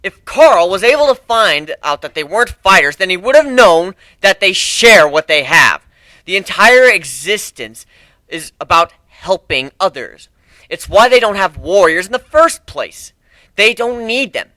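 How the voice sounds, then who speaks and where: Man as a forceful, bright-voiced courtroom prosecutor griffin. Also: sits high, very animated, a little slow, no room sound, pitched above the performer's own voice, exaggerated voice. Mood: forceful, indignant. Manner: commanding, formal, pointed questioning. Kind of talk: dramatic fan fiction reading.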